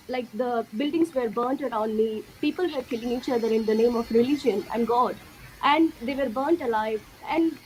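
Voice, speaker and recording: A young woman from India sharing her spiritual story slowly and earnestly, with lots of fillers. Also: sits high, slight background noise, poor audio. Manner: slow, earnest, many fillers. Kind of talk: personal testimony in an interview.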